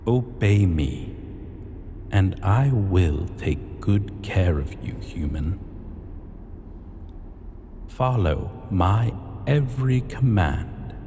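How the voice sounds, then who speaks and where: In a long cavernous reverb, a man plays an arrogant, possessive dragon king for a fantasy roleplay. The voice is low and dark, with pompous, archaic phrasing that is drawn out very slowly. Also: animated, slight background noise, heavily acted. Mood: amused, possessive. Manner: pompous, deliberate, archaic formal diction. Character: arrogant, possessive male dragon king, ancient hoard-keeper. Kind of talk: scripted fantasy ASMR roleplay.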